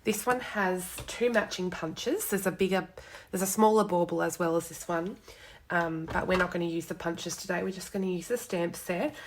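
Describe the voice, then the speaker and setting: A young woman with a cold and a hoarse, snuffly voice chats casually through a live card-making demo at her home desk, greeting viewers with lots of ums. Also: fairly low pitch, moderate pace, slight background noise.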